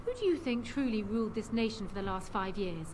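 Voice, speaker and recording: A woman's voice: mid-range voice, sing-song, brisk, a little mellow, natural voice. A woman playing a proud, calculating young queen in a fantasy video game, speaking formally and with assertive composure. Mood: proud, commanding. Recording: game audio with clear background noise.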